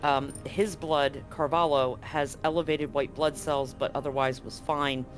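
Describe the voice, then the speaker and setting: A man's voice: fairly high pitch, little pitch movement, a little slow, faint music behind, natural voice. A man playing an anxious, grave doctor in a tabletop game, explaining things clinically and hesitantly. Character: anxious, grave male doctor at a remote medical facility.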